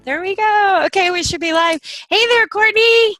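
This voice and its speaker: A woman podcast host from Ohio in casual interview chat, bubbly and quick to laugh and exclaim. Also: extremely high pitch, pushed and bright, faint music behind. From Ohio, USA.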